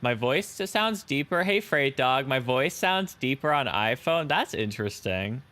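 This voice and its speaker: A man's voice: mid-range voice, animated, measured pace, pushed and bright. A young man streaming a flight simulator, chatting casually with viewers and thanking subscribers. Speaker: live streamer. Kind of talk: casual live-stream chat while flying a simulator.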